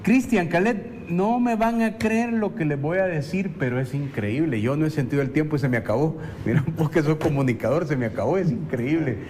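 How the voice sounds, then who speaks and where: Fairly high-voiced Salvadoran male host. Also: fairly quick, few pauses, darkish tone. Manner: chatty, familiar. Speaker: talk show host. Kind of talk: casual, conversational hosting. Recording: clear background noise.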